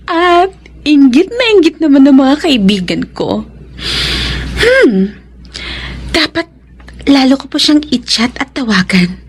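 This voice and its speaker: A woman's voice: sits high, very animated, takes it slowly, long pauses, exaggerated voice. A Filipino woman playing a scheming young gold-digger in a radio drama soliloquy, smug and calculating. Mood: smug, gleeful.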